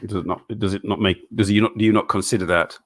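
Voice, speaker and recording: A middle-aged man with a deep, low voice. He chats loosely on a live stream, reading out viewer questions and answering them in halting bursts, with stumbling restarts and frequent chuckles. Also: fairly even intonation. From England.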